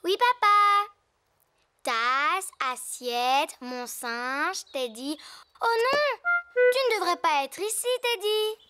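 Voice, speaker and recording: A woman's voice: very high voice, big pitch swings, slow pace, bright, forceful tone, heavily acted. A woman voicing a cheerful, bossy little girl pig in a French cartoon dub for young children. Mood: playful. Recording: music behind.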